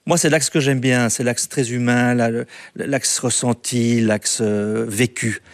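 A man guest talking about his documentary work, hesitant, with many restarts and a voice that trails off softly. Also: mid-range voice, steady tone, a little slow, no echo. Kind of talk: casual interview answers.

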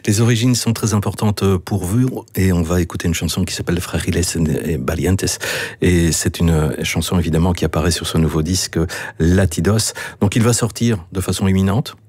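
A male radio host asks engaged questions in a low, husky voice. The recording is close and dry, with no room echo. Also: a little fast, a little mellow. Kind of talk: radio interview.

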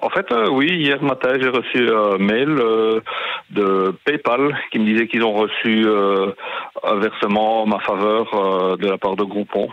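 A man's voice: lowish voice, a little slow, no room sound. A man with a Belgian French accent calling in to a radio show, hesitant and stumbling over his words, heard over a dull phone line. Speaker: caller.

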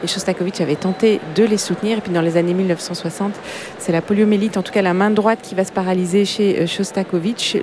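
A woman's voice: medium pitch, noisy background. A woman presenting a radio broadcast, explaining background in a chatty way with fillers. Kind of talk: broadcast commentary.

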